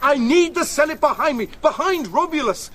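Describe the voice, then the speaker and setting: A man's voice: very high voice, lively intonation, moderate pace, a bit bright, slight background noise. A man playing a desperate, slippery Roman politician and father, pleading in a radio drama. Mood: placating, anxious. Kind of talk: British radio drama acting.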